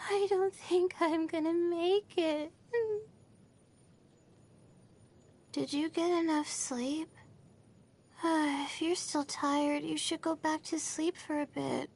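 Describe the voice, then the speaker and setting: A woman voicing a sleepy, anxious young student stargazer in an anime game, hesitant and drowsy. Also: high-pitched. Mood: weary. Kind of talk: anime video game voice lines.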